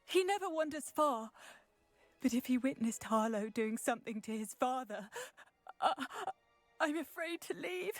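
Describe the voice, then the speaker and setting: A woman's voice: high voice, expressive, unhurried, no echo. A woman playing a middle-aged widow and anxious mother in a video game, sorrowful and anxious. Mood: distraught. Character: grief-stricken widowed mother, anxious, gentle villager witch. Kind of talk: video game character dialogue. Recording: music behind the voice.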